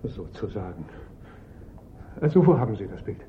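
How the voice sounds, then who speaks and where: An actor playing a polite, excitable Italian art dealer in a German radio drama, courteous and fussy in manner. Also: male voice, fairly low pitch, normal speed, a little hiss or hum. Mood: taken aback, businesslike. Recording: narrow, tinny old radio recording.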